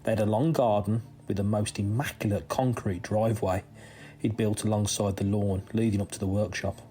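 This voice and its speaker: Male story reader, lowish pitch, measured scripted first-person narration with careful pauses, music bed. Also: fairly even intonation, mellow. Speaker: reader of a listener's true story. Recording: music under the voice.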